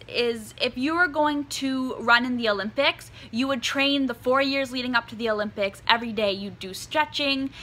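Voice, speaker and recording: A young woman in her twenties, a singer hosting her own vlog, giving earnest, chatty advice to camera with plenty of "um"s and a slightly croaky voice. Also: a little high, pushed and bright. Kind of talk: casual advice talk to camera. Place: indoors in front of a dark curtain.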